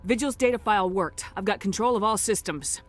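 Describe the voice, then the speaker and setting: An actress playing a steadfast, commanding space commander hero in a video game cutscene. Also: female voice, mid-range voice, a little fast, faint music behind, lightly acted. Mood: decisive. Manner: firm, commanding.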